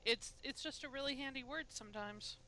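A woman's voice: high-pitched, a little fast, sharp tone, slight background noise. A woman chatting casually as a podcast co-host, emphatic and laughing often. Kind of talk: casual chat.

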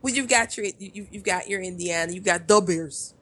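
A woman's voice: fairly low pitch, moderate pace, a bit bright, husky. A woman with a Chicago accent, co-hosting a casual podcast chat, talking freely and trailing off between thoughts. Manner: chatty, trailing off and restarting. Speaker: podcast co-host.

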